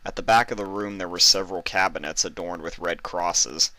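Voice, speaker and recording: Male narrator, low pitch, bright crisp edge, scripted story reading, dry recording. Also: steady tone, brisk, no room sound. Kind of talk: scripted fan fiction narration.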